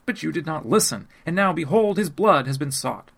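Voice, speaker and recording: A reproachful eldest brother who insists he warned the others, as a man reads him aloud. His voice climbs high and swings widely. He sounds urgent and pleading. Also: lively intonation, normal speed, gravelly, fairly bright tone, slightly rough, heavily acted. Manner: raised, insistent pitch.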